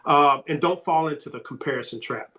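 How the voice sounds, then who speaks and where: A man answering as a sports recruiting coach on a podcast, emphatic and repeating his key points, his voice slightly hoarse. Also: fairly high pitch, a little fast, bright, forceful tone.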